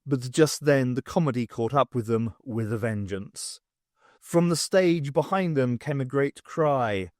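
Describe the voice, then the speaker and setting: A man narrates an audiobook at an unhurried, slow pace. His mid-pitched voice moves with lively intonation through the scripted story, recorded close and dry. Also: no echo.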